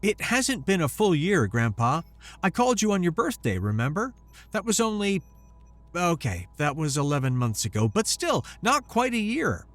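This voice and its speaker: A man voicing a sheepish young student pleading with his grandfather in a quick, flustered way. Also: high voice, wildly swooping pitch, fairly bright tone, faint music behind, voice pushed up, full character voice.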